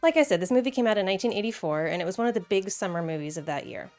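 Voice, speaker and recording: A woman's voice: mid-range voice, expressive, racing, music in the background. An American woman hosting a video essay, talking in a conversational, playful way with casual asides.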